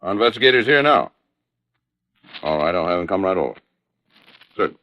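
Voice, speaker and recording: An actor playing a polite, businesslike insurance official in an old radio drama, speaking matter-of-factly. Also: male voice, low voice, sing-song, brisk, sharp tone, heavily acted. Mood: hurried, attentive. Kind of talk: 1940s-50s radio drama acting. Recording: dull vintage radio recording.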